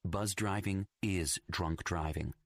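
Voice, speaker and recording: A man's voice: low voice, steady tone, slow pace, no echo, clean studio sound. A man reading a scripted public service announcement as a voice-over. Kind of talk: scripted ad narration.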